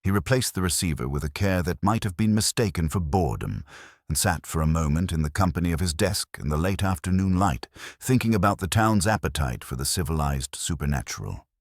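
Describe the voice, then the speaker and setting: Very deep male storyteller. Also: lively intonation, no room sound. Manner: dry, wry, measured literary delivery. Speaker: narrator. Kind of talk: scripted audiobook-style mystery narration.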